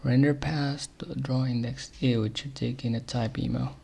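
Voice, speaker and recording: A man with an Arabic accent and a gravelly, fry-laden voice talks himself through a stubborn bug on a live coding stream. He mutters half-finished thoughts and reads error messages aloud, breaking into short chuckles. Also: mid-range voice, level tone, warm tone. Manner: muttering to himself while debugging, quick chuckles.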